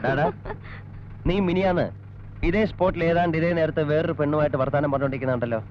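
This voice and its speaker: An actor playing a stern, methodical police investigator in an old Malayalam film, formal and insistent. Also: male voice, on the high side, fast, a little hiss or hum, lightly acted. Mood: gruff, bullying. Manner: formal, insistent, mixing in English words. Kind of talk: 1980s Malayalam film acting. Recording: dull old film soundtrack with music.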